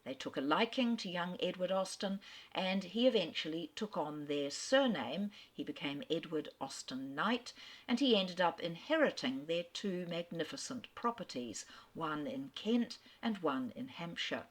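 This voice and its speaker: A woman with a fairly low voice gives a literary talk, unhurried and articulate. She tells history like a story and drops in the occasional small filler. She is from Australia. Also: animated. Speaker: speaker on famous authors. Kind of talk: lecture-style literary talk.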